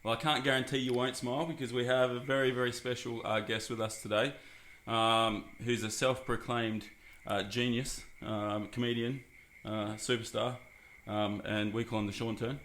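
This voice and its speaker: A man's voice: medium pitch, flat delivery, normal speed, a bit bright. A man hosts a casual podcast, joking and rambling with lots of fillers. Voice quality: husky. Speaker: podcast host. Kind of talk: casual chat.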